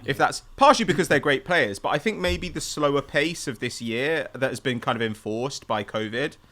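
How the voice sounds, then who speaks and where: A man's voice: medium pitch, moderate pace, pushed and bright. A man hosting a casual football discussion, talking in an inquisitive, conversational way. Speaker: podcast host.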